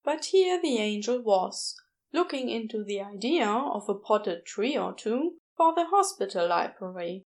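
A woman narrator reads a scripted story. Her voice sits fairly high, and she takes her time, reading at a distinctly slow pace. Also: sing-song, darkish tone.

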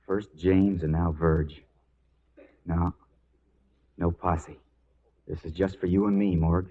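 An actor playing a steady, plain-spoken western marshal in a 1940s radio drama, a man with understated delivery. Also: low-pitched, slow pace, a little hiss or hum. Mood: grim resolve. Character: grim, steady Old West marshal avenging his brothers. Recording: dull 1940s radio broadcast recording.

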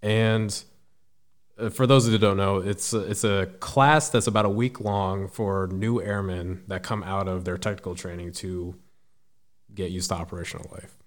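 A man in his twenties with a deep voice, a podcast guest speaking thoughtfully with frequent restarts. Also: steady tone. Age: 20s. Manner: thoughtful, halting, restarts sentences. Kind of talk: casual interview.

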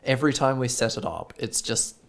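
A man's voice: medium pitch, animated, a little fast, gravelly. An Australian man co-hosting a podcast, rambling through stories in casual chat with lots of "like" fillers. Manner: rambling storyteller, frequent "like" fillers. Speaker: podcast co-host.

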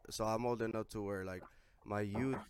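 A man in his twenties hosting a casual interview podcast, relaxed and full of "you know" fillers. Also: lowish voice, normal speed, clear, resonant tone. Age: 20s. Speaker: podcast host.

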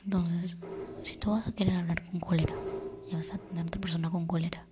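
A young woman giving calm, reflective life advice in a soft ASMR-style whisper. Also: fairly low pitch, fast, noisy background.

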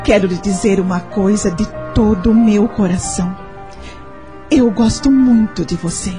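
A woman's voice: mid-range voice, a little slow, over music, natural voice. A woman acting in a radio soap opera as a hard-working, anxious mother, speaking with hesitant emotion. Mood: tender.

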